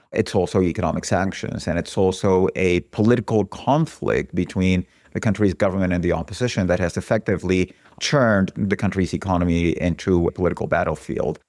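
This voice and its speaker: A man with a Venezuelan accent, an economist answering interview questions in a measured, analytical way. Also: lowish voice, a little mellow, no echo, studio quality. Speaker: economist guest.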